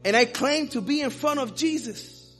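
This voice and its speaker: High-voiced man preaching with passion. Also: lively intonation, moderate pace, fairly bright tone, slightly rough, soft background music. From Dominican Republic. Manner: impassioned preaching with personal stories. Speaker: pastor. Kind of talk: sermon.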